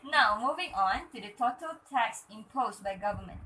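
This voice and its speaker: High-voiced Malaysian woman teaching, noisy recording. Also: animated, a little slow, sharp tone. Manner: encouraging, frequently praising and prompting. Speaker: teacher in a student-made classroom skit. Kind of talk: acted classroom lesson, explaining and questioning.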